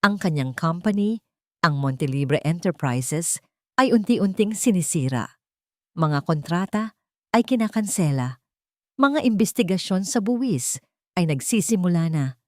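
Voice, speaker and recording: Low-voiced woman narrating a dramatic story. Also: animated, a little slow, long pauses, no echo, clean studio sound.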